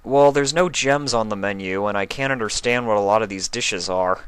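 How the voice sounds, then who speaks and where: A man's voice: fairly low pitch, normal speed, fairly bright tone, clean tone, no echo, close to an everyday voice. A man voicing an earnest, caring young male pony who speaks casually, in a storybook reading. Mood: concerned. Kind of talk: storybook character voice in fan fiction reading.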